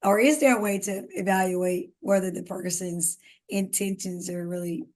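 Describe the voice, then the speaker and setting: A woman's voice: medium pitch, normal speed, a little mellow. Woman host asking probing questions. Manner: probing, asks long searching questions. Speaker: podcast host. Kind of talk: interviewing.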